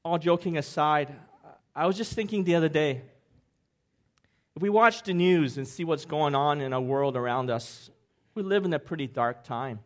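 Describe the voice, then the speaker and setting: A middle-aged pastor from Hong Kong, preaching in a light, jokey way. His voice sits a bit high for a man, and he keeps asking the room questions before each point. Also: normal speed. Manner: jokey, upbeat, asks the crowd questions. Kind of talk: sermon with audience interaction.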